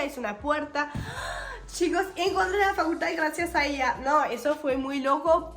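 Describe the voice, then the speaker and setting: A young woman in her twenties, speaking touches, chatting and telling a story to her followers. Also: high voice. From France. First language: French. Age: 20s. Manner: chatty, animated, many fillers. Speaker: vlogger. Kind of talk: casual storytelling to camera. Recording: echoey room with faint music.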